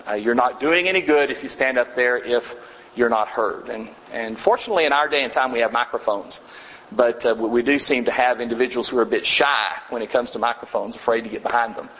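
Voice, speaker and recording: A man teaching a church class in a casual, halting way, with many fillers and restarts. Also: medium pitch, a bit bright, in a large hall, a little hiss or hum, poor audio. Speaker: preacher teaching a congregation class. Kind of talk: informal Bible class teaching. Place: church meeting room.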